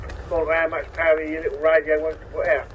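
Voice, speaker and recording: British man, dull CB radio sound, slightly high pitch, informal technical chat, explanatory. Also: little pitch movement, fast talker. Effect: CB radio transmission. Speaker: CB radio operator. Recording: dull CB radio audio, faint noise.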